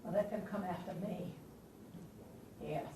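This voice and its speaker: A woman's voice: medium pitch, a little slow, mellow, lightly acted. An actress playing a spirited Southern woman spymaster of the Civil War in a stage play, telling her story with wry drama. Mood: fearful, resolute. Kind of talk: theatrical stage monologue and dialogue. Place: theatre stage. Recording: distant, echoey stage recording with noise.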